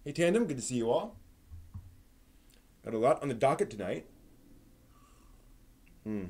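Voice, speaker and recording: A man's voice: mid-range voice, big pitch swings, a little slow, a little mellow, breathy edge. A man hosting a live stream in a conversational way, moving between news rundown and casual asides to his viewers.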